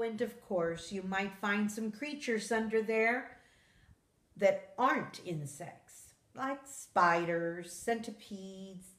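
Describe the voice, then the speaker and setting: A woman reading a children's nonfiction book aloud in a measured, expressive storytelling manner, like a teacher. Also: on the low side, echoey room.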